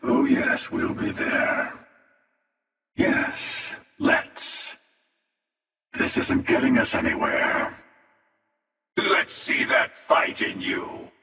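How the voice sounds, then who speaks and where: A menacing, ageless hunter in a sci-fi game. His gravelly drawl is slow and mocking, swinging widely in pitch, with an otherworldly processed reverb around it. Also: huge pitch swings, sharp tone, heavily acted. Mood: cold menace. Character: jaded, menacing immortal male interdimensional hunter, sardonic. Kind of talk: video game voice acting. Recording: long reverb, distant echoing sound.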